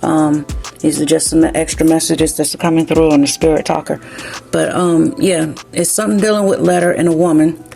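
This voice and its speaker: Gravelly low-voiced woman reading cards. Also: flat delivery, moderate pace, warm tone, faint music behind. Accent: slight Southern US country. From New Jersey, raised in South Carolina. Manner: chatty, keeps saying "you know what I'm saying". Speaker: tarot card reader. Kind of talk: casual spiritual card reading.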